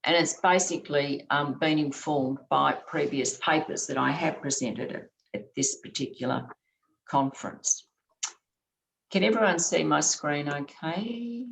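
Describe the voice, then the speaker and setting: A woman giving an academic conference talk over a video call, her voice scratchy late at night, speaking in a measured way with frequent ums and restarts. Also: deep voice, creaky. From Australia. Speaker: conference presenter.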